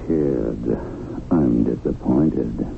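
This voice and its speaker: A man playing a smug, streetwise devilish tempter in a 1970s radio drama, slangy and taunting, with a gravelly voice. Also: a bass voice, very slow, full character voice. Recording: muffled, noisy 1970s radio recording.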